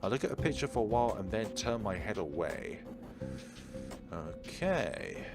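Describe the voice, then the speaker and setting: A man's voice: lowish voice, sing-song, normal speed. A man hosting a game playthrough, reading visual novel text aloud and breaking into offhand asides. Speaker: gaming video host. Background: prominent game music.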